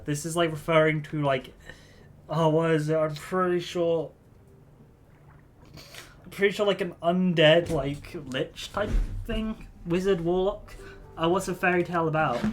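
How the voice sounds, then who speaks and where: A man's voice: fairly high pitch, a bit bright, slight background noise. A man streaming a game, talking casually out of character in a rambling, stammering way with lots of fillers.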